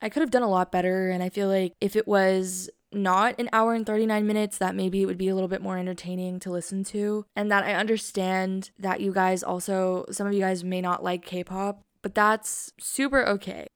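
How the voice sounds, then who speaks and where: A woman's voice: mid-range voice, steady tone, a little fast, few pauses, clear, resonant tone, no room sound, clean studio sound. Rambling young woman with a slight lisp. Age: young adult. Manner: rambling, self-deprecating, tangent-prone. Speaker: podcast host. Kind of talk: casual solo podcast chat.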